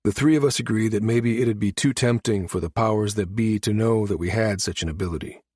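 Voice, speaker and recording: A man's voice: lowish voice, a little fast, a little mellow, husky, dry recording, studio quality. A man narrating an audiobook, voicing a weary, guarded male starship pilot who speaks plainly. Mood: weary confession. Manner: exhausted, candid, plain-spoken. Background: music behind the voice.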